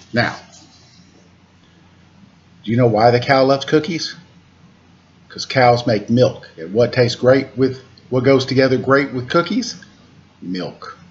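A man in his forties reading a picture book aloud to children in a deliberate sing-song way, with casual asides. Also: medium pitch. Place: indoor room at home. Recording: dull lo-fi webcam audio with noise.

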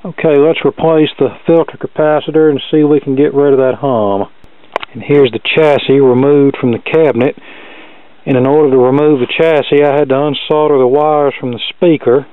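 A man's voice: medium pitch, steady tone, moderate pace, smooth, phone-quality sound. A man giving a casual hands-on repair demonstration into a camera mic at a workbench. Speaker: radio repair hobbyist presenting. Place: indoor cluttered workshop bench.

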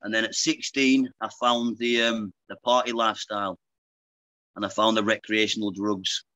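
A Lancashire man in his thirties tells his story to a podcast host over a video call, chatty and frank, dropping "mate" at the end of his sentences. His voice is bright and forward. The sound is close and dry, with no room around it. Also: fairly low pitch, flat delivery, normal speed, no room sound. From Lancashire, England. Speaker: guest, pro boxer. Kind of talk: casual interview chat. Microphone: earbuds on a video call.

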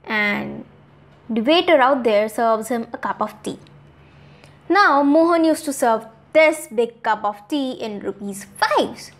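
A woman in her twenties with an Indian accent narrates a moral story for children, expressively, with dramatic pauses. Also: on the high side, expressive. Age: 20s. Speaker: storyteller, host.

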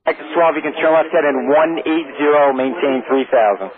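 Fast-talking male air traffic controller on radio. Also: fairly high pitch, phone-quality sound, crowd noise. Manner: procedural, businesslike.

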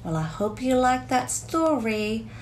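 A woman in her thirties reading a picture book aloud to children, expressive and deliberate, drawing out key words in a small room. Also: fairly high pitch, a little hiss or hum. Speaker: storyteller, teacher reading to children. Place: small curtained room indoors.

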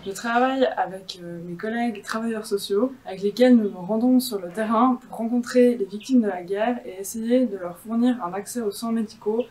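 A woman in her twenties, a volunteer, speaking calmly and reflectively outdoors in a garden. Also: fairly high pitch, normal speed, few pauses, low-quality recording. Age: 20s. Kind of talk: casual testimonial.

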